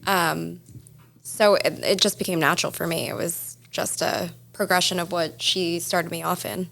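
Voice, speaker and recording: A young woman with a slightly low voice, joining a podcast chat as co-host. She recalls her childhood in an easy, breezy way. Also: steady tone, moderate pace, gravelly, fairly bright tone. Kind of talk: casual chat.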